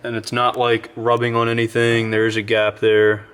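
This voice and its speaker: A man's voice: medium pitch, monotone, normal speed, a bit bright, clear, resonant tone. A man hosting a casual how-to vlog, explaining his work in an easygoing, chatty way while working in a garage. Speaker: host of a car build channel. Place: garage, inside a vehicle.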